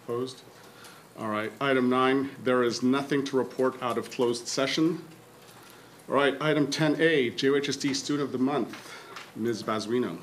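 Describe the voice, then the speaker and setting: A man chairing a board meeting, calling items in a brisk, procedural way. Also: medium pitch, slight background noise. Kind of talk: running a formal meeting. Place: school district meeting room.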